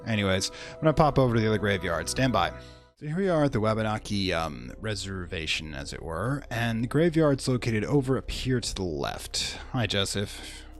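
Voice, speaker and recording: A man's voice: fairly low pitch, animated, moderate pace, faint music behind. A man hosting a let's play with casual commentary and wry, easygoing asides. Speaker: gaming host.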